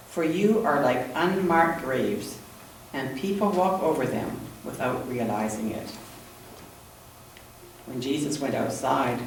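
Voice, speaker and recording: A woman reading scripture aloud in a church service, measured and deliberate. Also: extremely low pitch. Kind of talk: scripture reading with a short introduction. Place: indoors in a church. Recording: roomy echoing church, distant mic, faint noise.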